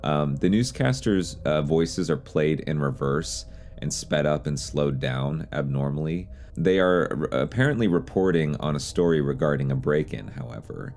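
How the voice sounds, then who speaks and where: Very deep-voiced man narrating an explainer. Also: expressive, moderate pace, creaky, darkish tone, slight background noise. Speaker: video host and narrator. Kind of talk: explainer commentary on horror videos.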